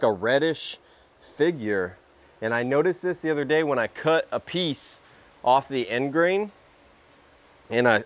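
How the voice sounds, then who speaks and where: A young man hosting a casual workshop vlog, talking in a relaxed way as he works at a sawmill under an open-sided shed. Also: medium pitch. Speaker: sawmill channel host.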